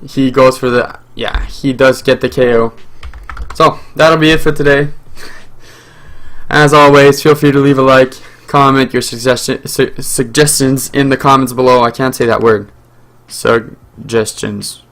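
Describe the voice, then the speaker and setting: A young man whose mother tongue is French talks through a game as he plays, thinking out loud. His commentary rambles, full of fillers and restarts, at a middling pitch. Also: fairly even intonation, measured pace. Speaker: gaming channel host.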